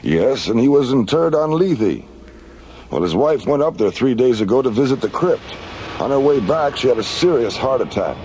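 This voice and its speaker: An actor playing a matter-of-fact commissioner in a science fiction radio drama, explaining in a measured way. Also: male voice, medium pitch, expressive, a little mellow, slight background noise, natural voice. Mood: serious, explanatory. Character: matter-of-fact male space agency commissioner.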